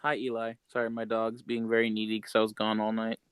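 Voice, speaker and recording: A man's voice: fairly low pitch, completely flat delivery, quick pace, clean tone, no room sound. A man co-hosting a podcast, talking in an informal, slangy way. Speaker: podcast co-host. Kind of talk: casual chat.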